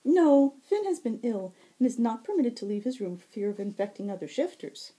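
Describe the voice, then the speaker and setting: A woman's voice: a little high, big pitch swings, normal speed, mellow, pitched above the performer's own voice. A woman voicing a playful, teasing male fox fairy herald with courtly, flowery phrasing and a silvery tone, in a fantasy story reading. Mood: cheerful, explaining.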